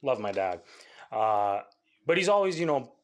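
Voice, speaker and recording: A young man, a musician from Canada, talking casually in an interview, rambling with lots of fillers and quick laughs. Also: mid-range voice, big pitch swings. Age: young adult. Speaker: musician guest.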